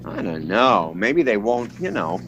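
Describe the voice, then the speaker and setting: Man playing a timid, stammering, animated husband. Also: fairly low pitch, measured pace, muffled recording, slight background noise. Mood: uneasy. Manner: hesitant, stammering, placating. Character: timid, uneasy, henpecked Hollywood husband with qualms. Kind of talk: 1940s radio drama acting.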